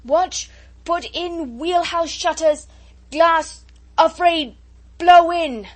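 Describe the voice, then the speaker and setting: An agitated ship's officer in a sea story, acted out by a woman reader, shouting over a gale. The delivery is clipped and loud, pushed well above normal speaking pitch. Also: very slow, full character voice. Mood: urgent yelling.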